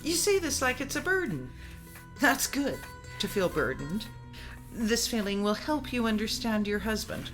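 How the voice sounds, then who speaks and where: A woman's voice: on the high side, sing-song, a little slow, fairly bright tone. A woman acting in a radio play as a stern, resigned, dutiful mother who lectures in formal speech. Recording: music bed under the voice. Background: prominent music.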